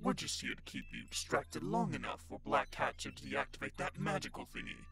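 A man's voice: on the high side, wildly swooping pitch, fairly quick, pushed and bright, full character voice. A man voicing a menacing alien symbiote monster with a guttural growl in an ASMR audio drama. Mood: taunting menace.

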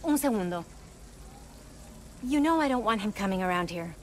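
A woman's voice: medium pitch, expressive, normal speed, a little mellow, slight background noise, lightly acted. A woman playing a busy, affectionate middle-aged mother with a Puerto Rican accent, talking naturally and slipping between English and Spanish in a video game. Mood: busy, firm. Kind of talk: video game character acting, natural dialogue.

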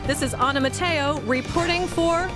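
A middle-aged woman reporter interviewing tourists outdoors with a handheld mic, speaking slowly and clearly for learners. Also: high-pitched, over loud music. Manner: upbeat, enunciates carefully. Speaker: reporter host of an English lesson. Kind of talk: slow, clear scripted interviewing. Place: outdoors on city streets. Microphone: handheld microphone.

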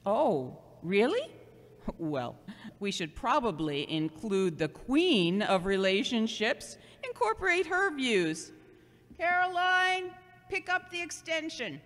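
Woman playing elderly mother, audio drama, earnest sermonizing, slow swooping delivery, gently scolding. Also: on the high side, very animated, heavily acted. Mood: gently bossy. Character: loving, preachy seventy-year-old mother, gently scolding. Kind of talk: amateur audio drama acting. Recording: long hollow reverb.

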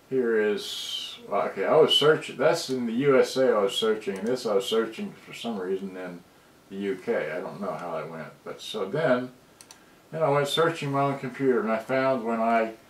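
A man in his sixties giving a casual, rambling show-and-tell, muttering to himself distractedly and trailing off. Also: fairly low pitch. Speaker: hobbyist video maker. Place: indoors in a cluttered home room. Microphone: camera mic at a distance. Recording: roomy, distant from the microphone.

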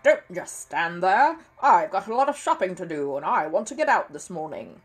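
A woman voicing a gruff, bossy older male neighbour who barks his orders loudly. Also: on the low side, expressive, normal speed, soft background music, close to an everyday voice. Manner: loud, barking, stern.

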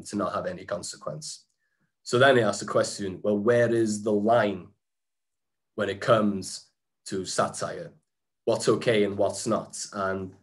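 A man, giving a casual presentation over a video call, talking in a chatty way with many restarts and fillers. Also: fairly low pitch. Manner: chatty, frequent restarts and fillers, occasional laughs. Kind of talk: informal slide-talk presentation. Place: small room indoors. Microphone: webcam on a video call.

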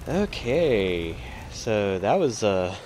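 A man giving casual let's-play commentary while gaming, laughing often and filling pauses with "uh" and "um". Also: on the low side, very animated, a little hiss or hum. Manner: slow, laughing, filler-heavy. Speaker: gamer. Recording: loud game rain and thunder behind voice.

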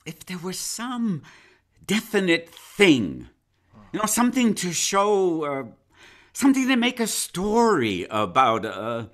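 Smug county attorney, slow, bright tone, lively pitch, patronizing, radio play. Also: male voice, exaggerated voice. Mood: musing. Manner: patronizing, with chuckles and filler pauses. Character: smug, condescending small-town county attorney. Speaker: Atty. Henderson, county attorney. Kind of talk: live radio play acting. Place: home closet.

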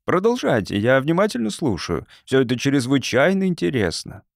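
Male narrator as stubborn tipsy academic. Sceptical, ironically polite, very animated intonation, audiobook. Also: medium pitch, normal speed, vocal fry, dry recording, clean studio sound. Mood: ironic. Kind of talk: audiobook character acting.

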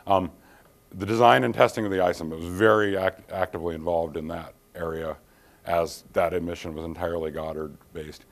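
A middle-aged man giving a technical conference presentation in a dim hall, speaking in a measured way with frequent ums and restarts. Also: low-pitched, fairly even intonation. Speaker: keynote speaker, engineer. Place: dim conference hall, standing by projector screen.

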